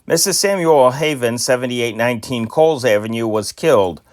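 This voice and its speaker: A man's voice: medium pitch, lively intonation, moderate pace, dry recording, clean studio sound. A man reading old newspaper stories aloud in a plain amateur style, stumbling now and then over names. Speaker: newspaper reader.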